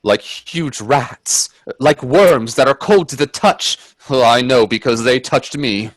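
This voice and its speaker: A man playing an earnest, uneasy gentleman adventurer in a radio play, telling his tale with theatrical, hesitant suspense. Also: mid-range voice, slow pace, no room sound. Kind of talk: dramatic radio play narration and dialogue.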